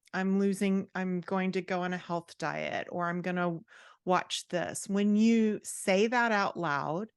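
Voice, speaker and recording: A woman's voice: mid-range voice, clear, resonant tone, no echo, studio quality. A woman speaking as an interview guest, an author and writing coach who explains things in a conversational way.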